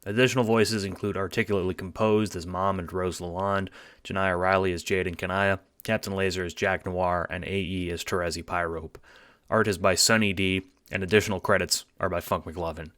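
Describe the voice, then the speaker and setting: A man's voice: deep voice, little pitch movement, a little fast, fairly bright tone, no room sound, clean studio sound. A man giving scripted narration for an audio book styled as a drama. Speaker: narrator, writer and producer.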